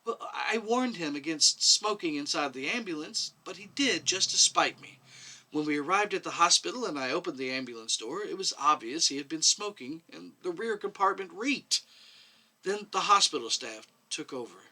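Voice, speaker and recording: A man plays a meek woman medic under questioning in a story reading. The answers are quiet and hesitant, defensive yet plain-spoken. The tone is clear-edged and bright, with a lively rise and fall. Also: fairly high pitch, moderate pace, exaggerated voice, poor audio. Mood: plainly explaining. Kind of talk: dramatic short story reading.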